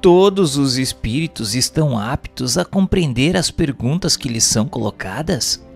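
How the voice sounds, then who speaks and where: A man's voice: mid-range voice, expressive, moderate pace, creaky, slightly rough. A man with a Brazilian accent playing an earnest student who puts formal, studied questions in a scripted radio drama. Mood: earnest, inquiring. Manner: formal, inquiring. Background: music.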